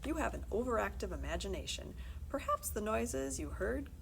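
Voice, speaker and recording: A woman's voice: fairly high pitch, very animated, normal speed, gravelly, a little hiss or hum, rough recording. A woman voicing a mildly patronising, dismissive father in a storybook, in a matter-of-fact manner.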